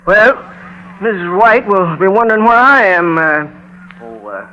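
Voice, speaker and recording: An actor playing a mild, courteous, hesitant gentleman neighbor in an old-time radio drama, speaking politely and haltingly. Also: high-pitched, sing-song, exaggerated voice. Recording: dull, noisy vintage radio recording.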